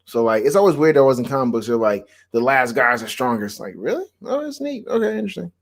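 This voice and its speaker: A man's voice: medium pitch, very animated, fast. A man co-hosting a casual chat, making wry asides and often repeating his phrases. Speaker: co-host of a comic book discussion stream.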